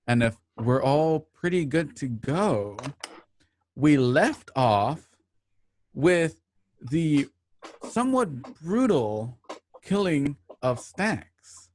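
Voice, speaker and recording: Higher-pitched man hosting a show. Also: very animated, extremely slow, a little hiss or hum. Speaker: host of online radio drama show. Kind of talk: scripted show introduction.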